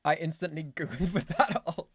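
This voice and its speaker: An Irish woman, a voice actor, chatting casually on a stream with quick self-mocking asides and laughs. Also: low voice, husky, no echo, clean studio sound. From Ireland. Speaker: voice actor and streamer reacting to a game video. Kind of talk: casual chat.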